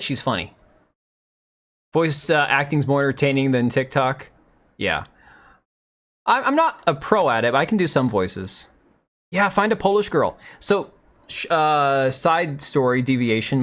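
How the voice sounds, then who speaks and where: A man in his thirties with a mid-pitched voice that has gone hoarse from talking for a long time. He rambles through a casual live commentary, loose and sarcastic. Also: a bit bright. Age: 30s. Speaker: livestream host.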